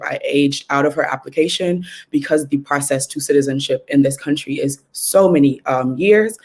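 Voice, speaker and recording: A woman from Trinidad and Tobago, a guest activist, speaking earnestly and with passion over a remote call. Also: low-pitched. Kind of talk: casual podcast interview. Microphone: remote call mic.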